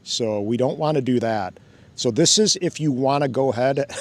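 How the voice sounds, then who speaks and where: A man hosting a casual hands-on demo outdoors by a fountain, thinking aloud and laughing often as he goes. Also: medium pitch. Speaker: drone channel host. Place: outdoors by a fountain, cold weather.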